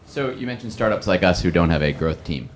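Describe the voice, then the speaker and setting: A man with a deep voice asks questions casually from the audience of a seminar. Faint room noise surrounds him. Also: expressive, brisk. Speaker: audience member asking questions. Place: classroom-style seminar room.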